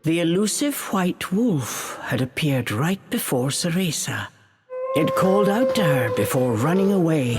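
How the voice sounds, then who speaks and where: Expressive storybook fairy tale narrator. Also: female voice, low-pitched. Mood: suspenseful. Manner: measured, dramatic storytelling. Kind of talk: scripted storybook narration for a video game. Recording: music behind the narration.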